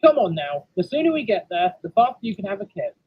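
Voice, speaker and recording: A man as an exasperated, raspy train driver. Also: high-pitched, very animated, quick pace, muffled recording, full character voice. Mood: coaxing. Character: exasperated but caring male train driver.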